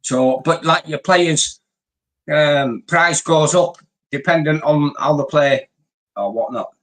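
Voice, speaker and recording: A man's voice: a little high, takes it slowly, a bit bright, poor audio. A man, bantering in a blunt, sweary, laddish way as co-host. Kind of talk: casual banter.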